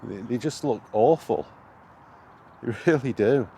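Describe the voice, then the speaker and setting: A man's voice: medium pitch, expressive, a little slow, mellow. A man giving a rambling, casual vlog chat about his hobby in a hesitant, conversational, wryly exasperated way. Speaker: video game vlogger. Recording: clear outdoor background noise.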